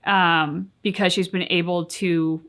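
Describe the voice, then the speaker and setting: A woman's voice: on the low side, fairly even intonation, measured pace, a bit bright. Earnest woman co-hosting a reaction show. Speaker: reaction channel co-host. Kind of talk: casual reflective discussion.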